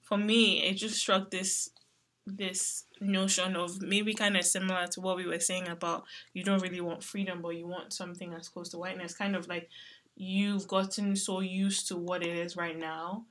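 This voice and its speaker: A woman's voice: fairly low pitch, level tone, moderate pace, fairly bright tone. A young woman from Nigeria talking casually and thoughtfully in a panel discussion.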